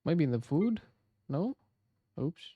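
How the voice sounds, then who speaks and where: A man's voice: fairly high pitch, very animated, slow pace, highs cut off, a little hiss or hum. A man with an Indonesian accent chatting casually on a live game stream, switching languages and muttering to himself. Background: game music.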